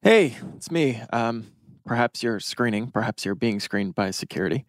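A man acts a wry, slightly awkward teenage boy who talks in wordy turns. His pitch is average and the sound is dry, as at a drama table read. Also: animated, normal speed, no room sound, natural voice. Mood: awkward, affectionate.

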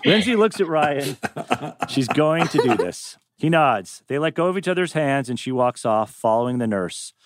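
A man's voice: medium pitch, sing-song, normal speed. A man reading scripted stage directions as narrator at a table read.

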